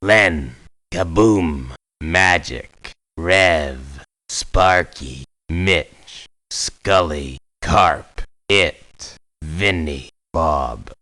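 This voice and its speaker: An actor voicing a tough, short-tempered ex-convict mercenary in a video game, with a blunt, streetwise, surly way of talking. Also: male voice, very deep voice, drawn-out words, pushed and bright, heavily acted. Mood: flat, calling out. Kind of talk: video game voice acting, short barked one-liners.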